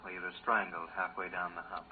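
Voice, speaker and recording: An actor playing an uneasy, careful geologist on a space expedition in a 1950s radio drama. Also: male voice, low voice, little pitch movement, a little fast, natural voice. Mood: worried. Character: male space-expedition geologist, absorbed in instruments, uneasy, cautious. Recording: noisy, narrow-band 1950s radio recording.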